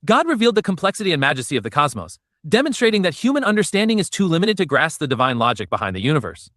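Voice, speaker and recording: Man, scripted narration, high and lively, dry close sound. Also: very fast, no echo. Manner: steady, storytelling read with numbered section headings.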